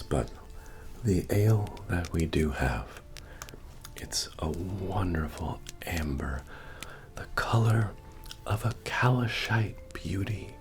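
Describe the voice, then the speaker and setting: A man playing a friendly, wry medieval fantasy innkeeper in a soft-spoken ASMR roleplay, with a gravelly murmur, folksy manner and gentle joking asides. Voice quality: deep, gravelly, breathy murmur. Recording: soft music playing behind the voice. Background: bard-style music.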